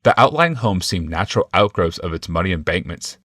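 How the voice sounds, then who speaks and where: Deep-voiced male audiobook narrator. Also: animated, a little fast, fairly bright tone, dry recording, clean studio sound. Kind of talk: scripted fantasy audiobook narration.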